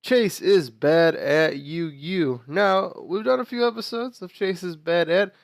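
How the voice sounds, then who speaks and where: A man's voice: high voice, unhurried, no echo, clean studio sound. Sick, nasal young man chatting on games. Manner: chatty, explains team choices with fillers and restarts. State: sick, nasal and quieter than usual. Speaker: gaming video host. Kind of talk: casual gameplay commentary.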